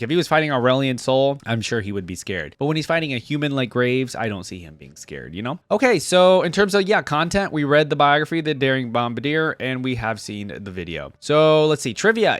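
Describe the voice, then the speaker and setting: A man hosting a casual reaction video, reading aloud and commenting conversationally as he thinks out loud. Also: mid-range voice, no room sound. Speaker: YouTube reaction host.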